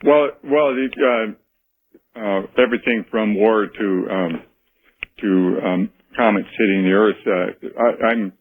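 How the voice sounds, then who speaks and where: An American man calling in to a radio show with his opinions, earnest and halting, often repeating words as he goes. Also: lowish voice. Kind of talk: call-in opinion talk. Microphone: telephone. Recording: muffled noisy phone call on radio.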